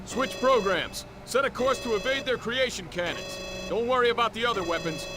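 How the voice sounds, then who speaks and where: A man's voice: very high voice, normal speed, noisy background. A man voicing a brash starship captain in a video game, cool under fire and giving orders. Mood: urgent, commanding. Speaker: ship captain. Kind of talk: video game cutscene acting. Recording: loud game music over the voice. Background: loud game music.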